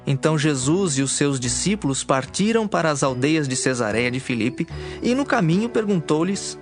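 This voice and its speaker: A male narrator reads a dramatized Bible story in a formal, measured way. His voice sits slightly high, and music plays faintly underneath. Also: expressive.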